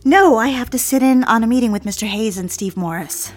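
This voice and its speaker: A woman's voice: on the high side, brisk, soft background music, close to an everyday voice. An actress playing a proper, wounded young secretary, speaking earnestly and primly in an audio drama and confiding her story. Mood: polite, coy. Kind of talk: dramatic scripted narration and dialogue.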